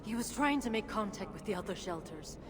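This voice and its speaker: A woman's voice: mid-range voice, lively intonation, fairly quick, a crowd in the background, natural voice. A woman playing a weary, bitter dwarf leader in a video game, guarded, sardonic and solemn. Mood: grim. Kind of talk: dramatic video game voice acting. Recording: long reverberant hall, noisy ambience.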